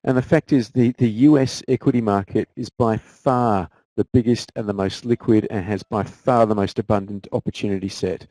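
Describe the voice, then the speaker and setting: A man's voice: fairly low pitch, steady tone, normal speed, hardly any pauses, warm tone, no echo, poor audio. A man with an Australian accent, a guest trader answering viewer questions in a casual live Q&A, often repeating words as he restarts sentences.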